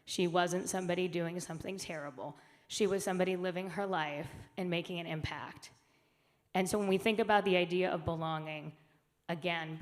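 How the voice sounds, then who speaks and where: A woman speaking earnestly at a conference, with emphatic phrasing and frequent fillers. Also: lowish voice. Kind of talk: keynote talk. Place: conference hall.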